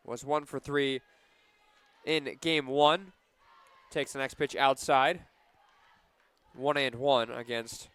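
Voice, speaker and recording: A man doing live play-by-play for a softball radio broadcast outdoors, punchy on big plays and conversational between them. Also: mid-range voice, clean tone, no echo. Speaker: play-by-play sports announcer.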